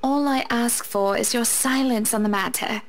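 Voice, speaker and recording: A woman's voice: on the high side, moderate pace, close to an everyday voice. A woman playing a refined, anxious wealthy young wife in a dramatic visual novel game. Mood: pleading.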